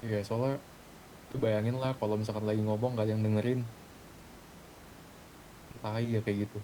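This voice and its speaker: A man's voice: on the low side, level tone, moderate pace, dark tone, slight background noise, poor audio. A man talking casually and slangily in an online gaming group meeting, airing complaints. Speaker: roleplay gamer in a group meeting. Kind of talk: casual in-game meeting talk.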